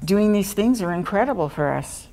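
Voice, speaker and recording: A woman's voice: mid-range voice, animated, normal speed, mellow. A woman earnestly and enthusiastically giving a testimonial in an interview. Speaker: program participant.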